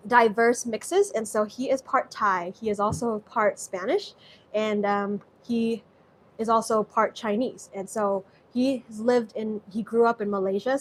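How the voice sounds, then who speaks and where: A woman from Southeast Asia with a slightly high voice hosts a live cooking stream from her home kitchen. She rambles chattily, restarts her sentences and reads viewer comments aloud, over a faint hum of room noise. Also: low-quality recording. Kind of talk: casual live-stream chat while cooking.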